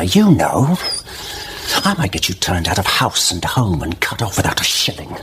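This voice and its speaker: Idle squire's son: theatrical, taunting, wildly varied intonation, smug blackmailer. Radio drama with clear background noise. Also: male voice, medium pitch. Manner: taunting, mock-affectionate, drawling, theatrical. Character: sly, idle younger squire's son, mocking blackmailer brother. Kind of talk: period radio drama acting.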